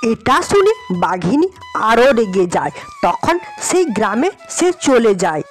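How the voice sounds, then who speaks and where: A woman's voice: fairly high pitch, sing-song, a little slow, faint music behind. A woman narrating a scripted cartoon fairy tale as a storyteller.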